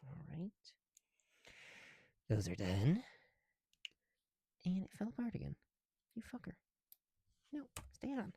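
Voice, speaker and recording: A man records a casual ASMR chat in a dry, close space. He rambles with swearing and teasing, slipping between hushed murmurs and fuller speech. His breath is audible through a mask. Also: high-pitched, huge pitch swings, slow, gravelly, pauses often, slightly rough, dry recording. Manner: drifts between soft whispering and louder talk. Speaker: ASMR creator. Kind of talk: casual first-person ASMR build chat. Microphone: close mic, speaking through a mask.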